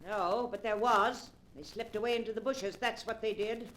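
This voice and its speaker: An actress playing a stern, suspicious old aunt with a crusty, no-nonsense manner in 1940s radio style. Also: female voice, fairly high pitch, steady tone, normal speed, fairly bright tone, lightly acted. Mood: indignant. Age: older. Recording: noisy vintage 1940s radio recording.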